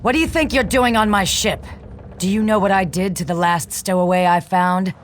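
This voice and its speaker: Brief: an actor doing a gruff, no-nonsense smuggler captain for a fantasy game, middle-pitched voice. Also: moderate pace, a little hiss or hum, close to an everyday voice. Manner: threatening, then grudgingly cooperative. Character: tough smuggler captain guarding a ship. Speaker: game character.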